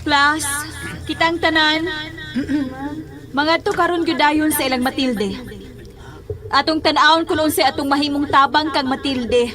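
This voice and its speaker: Woman playing a very high-voiced schoolteacher. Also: fairly even intonation, a little slow, pauses often, crowd noise. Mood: concerned, instructing. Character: female schoolteacher, concerned and directing her class. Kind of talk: horror audio drama. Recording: music under the voice.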